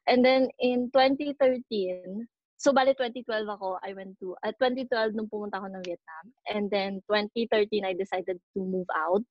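A woman's voice: medium pitch, a little fast, no room sound, muffled recording. A Filipino woman in her thirties co-hosting a podcast, chatting casually and telling stories with frequent ums and laughs. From Philippines. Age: 30s. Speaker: podcast co-host. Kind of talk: casual chat mixing English and Tagalog.

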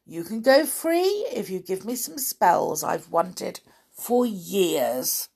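A woman's voice: medium pitch, very animated, slow, creaky, darkish tone, husky, full character voice. A woman reading aloud and voicing a gloating, cross-eyed male giant who taunts his prisoners. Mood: gleeful. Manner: taunting, gleeful.

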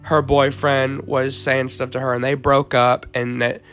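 A teenage boy, hoarse from the flu, hosting a faith podcast in an earnest tone with frequent ums and uhs. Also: mid-range voice, flat delivery, measured pace, a bit bright, soft background music. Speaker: podcast host.

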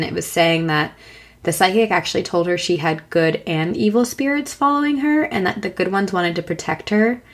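A woman co-hosting a podcast in casual chat, hoarse from a long cough and talking with many fillers and restarts. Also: on the low side. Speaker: podcast co-host.

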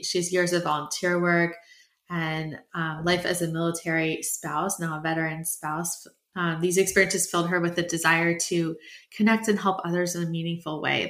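A woman hosting a finance interview podcast, talking in an upbeat, conversational way with the odd "um". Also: on the low side. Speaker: podcast host.

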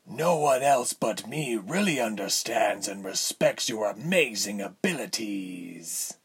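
A man voicing a condescending villain lord in a fantasy story, measured and smooth in manner with a breathy, husky tone. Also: on the low side, animated, a bit bright, exaggerated voice. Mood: persuasive. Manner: measured, silky, haughty.

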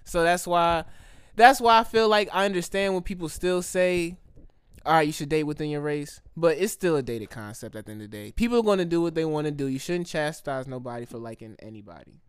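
A man's voice: a little high, a little fast, clean tone, no room sound, clean studio sound. A man, chatting casually as a podcast co-host, slangy and loose. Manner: slangy, frequent "you know what I'm saying". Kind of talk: casual chat.

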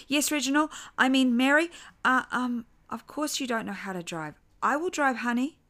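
Woman acting a flustered, higher-voiced placating mother. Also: normal speed, pushed and bright. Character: flustered, accommodating wife and mother.

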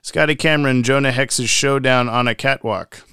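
A man with a clean, smooth, slightly high voice co-hosts a podcast in a very dry-sounding space. He reads listener messages aloud in a casual way and drops in joking asides. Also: steady tone, normal speed, bright, forceful tone, no room sound. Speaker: podcast co-host.

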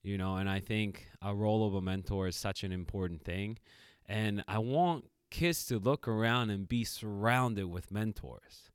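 A man's voice: on the low side, moderate pace, clean tone, no echo, studio quality. A middle-aged man co-hosting a podcast, telling stories reflectively with lots of fillers and restarts. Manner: reflective, animated storytelling with many fillers. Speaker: podcast co-host. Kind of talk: casual podcast conversation.

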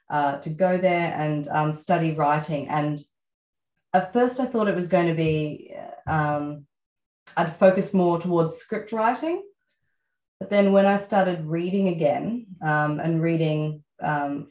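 A low-pitched Australian woman in her thirties recalls things in a reflective, meandering way, pausing often on fillers. Her voice sits in an echoey space and sounds slightly muffled. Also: darkish tone. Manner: reflective, many fillers and pauses. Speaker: guest writer. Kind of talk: relaxed interview conversation.

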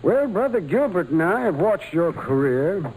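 Kindly mentor with animated intonation. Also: male voice, high voice, normal speed. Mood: earnest, approving. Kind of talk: 1940s American radio drama acting. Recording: faintly noisy old radio recording.

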